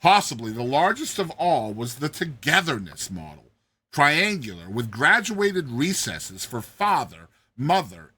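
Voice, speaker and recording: A man reads a classic story aloud as a dramatic narrator. His voice sits a little high and swings widely in pitch, with a bright, forceful edge. The tone is rough rather than smooth, and the delivery is expressive and theatrical. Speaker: story narrator, show host. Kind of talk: dramatized audiobook reading of a classic satirical story.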